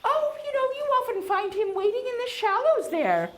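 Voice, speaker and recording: A woman voicing a genteel, kindly female beaver who hosts a tea party and teaches young viewers, with a fluttery, sing-song manner full of soft "oh"s and "well"s. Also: extremely high pitch, big pitch swings, moderate pace. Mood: gracious. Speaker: puppet show hostess and teacher. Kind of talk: children's educational puppet show. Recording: echoey room with faint noise.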